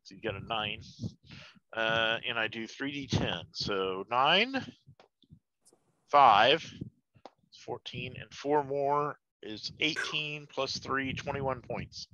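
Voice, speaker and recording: A man with a bright, forceful, mid-range voice talks casually as a player at a tabletop game. The recording is dry and slightly dull. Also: medium pitch, takes it slowly, no room sound.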